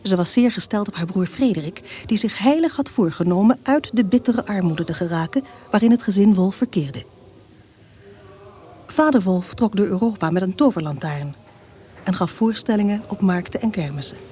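A woman narrating a radio drama as a scripted story, in a measured, formal manner. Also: medium pitch, lively intonation, warm tone.

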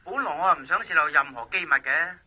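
An actor playing a brash, self-important police officer in a Cantonese radio drama, speaking pompously and emphatically through a telephone filter with a Hong Kong accent. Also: male voice, fairly high pitch, fast, sharp tone, slightly rough, full character voice. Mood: cautioning. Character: brash, self-important police special investigations lieutenant colonel. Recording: muffled telephone-line sound.

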